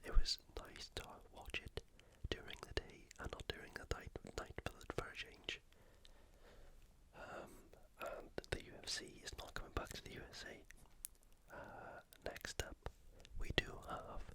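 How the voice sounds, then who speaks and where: An ASMR host delivers casual commentary entirely in a whisper. The voice is airy and breathy, with no real voicing. The delivery is conversational, like chatting through a match or a game.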